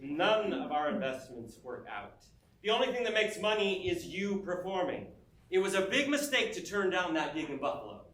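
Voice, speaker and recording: A man's voice: high voice, very animated, normal speed, a bit bright. A man playing an exasperated, nagging husband and business manager in a theatrical reading, emphatic and complaining. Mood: irritated, dry. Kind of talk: theatrical staged musical reading. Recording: echoey stage room, faint music.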